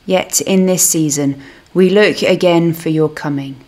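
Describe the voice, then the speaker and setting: A woman minister reading a scripted devotional reflection in a measured, solemn manner. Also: sits low, darkish tone.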